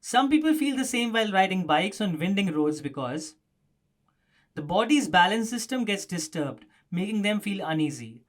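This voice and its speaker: A man's voice: high voice, very animated, normal speed, a bit bright. A man presenting a scripted explanatory news story as a narrator.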